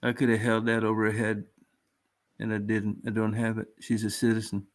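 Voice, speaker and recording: A man from Canada hosting a call-in radio show, blunt and deadpan with crude jokes. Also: fairly low pitch, fairly quick. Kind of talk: casual call-in radio chat.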